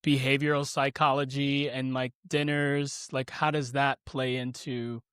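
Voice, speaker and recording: A man's voice: mid-range voice, flat delivery, unhurried, fairly bright tone, clear, resonant tone, no room sound, clean studio sound. A man hosting a podcast, asking friendly, loosely phrased questions. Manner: friendly, rambling questions with fillers. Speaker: podcast host. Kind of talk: casual interview hosting.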